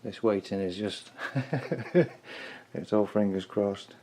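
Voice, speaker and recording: Male hobbyist repairer for a vlog: lowish pitch, dark mellow tone, informal, tentative think-aloud commentary. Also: takes it slowly. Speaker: hobbyist repairer presenting own video. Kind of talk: casual hands-on repair commentary.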